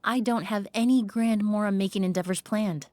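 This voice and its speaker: An actress playing a refined young woman perfumer in a video game, polite, measured and composed. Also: medium pitch, fairly even intonation, darkish tone, a little hiss or hum, lightly acted. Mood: composed, earnest.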